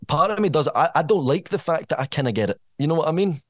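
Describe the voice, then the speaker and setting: A man's voice: fairly high pitch, lively intonation, fast, no echo. Scottish man, dry close sound. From Scotland. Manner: thoughtful, rambling, frank. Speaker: guest rapper. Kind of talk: casual podcast chat.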